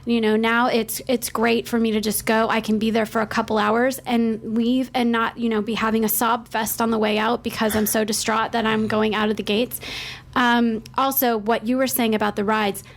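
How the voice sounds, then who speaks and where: A woman with a fairly high-pitched voice talks as a panelist on a podcast. She is enthusiastic, and her delivery is casual and conversational. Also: little pitch movement, moderate pace. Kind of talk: casual chat.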